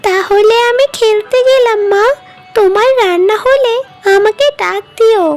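Very high-pitched little village girl. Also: a little slow, over loud music. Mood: happy, eager. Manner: childlike, whiny and eager. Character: hungry little village girl pleading with her mother. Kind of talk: children's cartoon dubbing.

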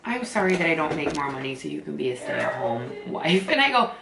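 A woman's voice: sits low, very animated, a little fast. A woman in her thirties chatting casually as a vlog co-host, teasing and exclaiming, recorded indoors from a few feet away. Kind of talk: casual comedic banter between friends. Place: small room at home, indoors. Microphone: camera a few feet away. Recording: roomy echo with clear background noise.

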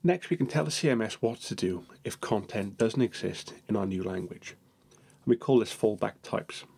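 A man narrating a software screencast tutorial, explaining each step in a steady manner. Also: fairly low pitch, moderate pace, creaky, breathy edge.

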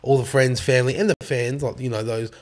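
Laid-back raspy Australian man. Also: mid-range voice, fairly even intonation, fast. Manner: laid-back, swears freely, filler-heavy. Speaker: rapper guest. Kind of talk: casual podcast chat.

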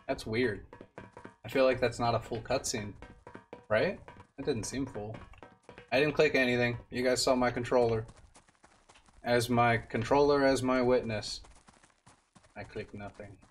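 A man's voice: mid-range voice, steady tone, a little slow, pauses often. A man in his twenties giving casual live commentary as he streams a game run. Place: indoor room at a streaming desk. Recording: distant mic, game noise behind. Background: game sound and music.

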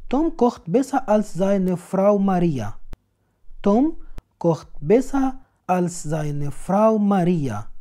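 A woman reading example sentences slowly and deliberately, each one twice, as a language teacher in a voice-over. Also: mid-range voice, mellow. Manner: slow, deliberate, clearly enunciated.

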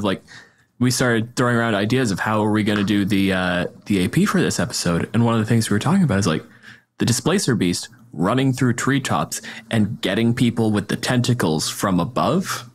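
A man's voice: fairly low pitch, expressive, brisk. A man chatting casually as a podcast host, explaining things in a conversational way. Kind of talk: casual tabletop game discussion.

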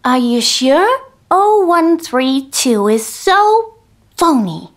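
Radio drama, female, acted: teasing, doubting 1950s wife, high pitch, slow animated delivery. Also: exaggerated voice. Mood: needling. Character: skeptical, needling 1950s agent's wife.

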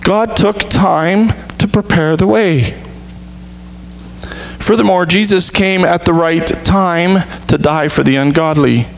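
Pastor guy at a lectern in church, kinda high voice, slow careful sermon, faint hum. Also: gravelly, rough recording. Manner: earnest, measured preaching with deliberate pauses. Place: church sanctuary stage.

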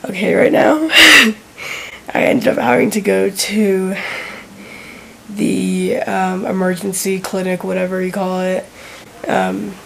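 A teenage girl vlogging to a handheld camera, sick with a sore throat and cough, talking softly and tiredly with many fillers. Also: medium pitch, takes it slowly, vocal fry, slight background noise. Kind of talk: casual vlog to camera. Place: indoors in a vacation rental living room. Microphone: handheld camera at arm's length.

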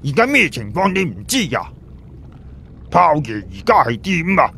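A brash, exasperated Cantonese man acted out by a male storyteller pitches his voice up as he scolds a companion. He is blunt and repetitive, firing off rhetorical questions over some noise in an audio story. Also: sing-song, moderate pace, creaky, pushed and bright, exaggerated voice. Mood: exasperated, alarmed.

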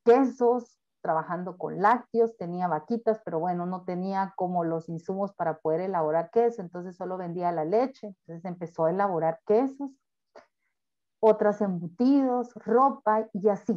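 A woman's voice: on the low side, warm tone. Lady on a webinar panel, earnest, lots of ums, muffled lo-fi call sound. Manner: earnest, halting with frequent fillers. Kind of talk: webinar presentation.

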